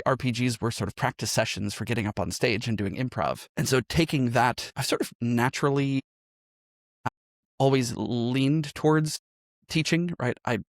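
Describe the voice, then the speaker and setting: A man's voice: mid-range voice, creaky, dry recording, clean studio sound. A man telling his story as a podcast guest in a conversational, reflective way, often checking in with a "right?" as he explains. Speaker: podcast guest, improv teacher and game master.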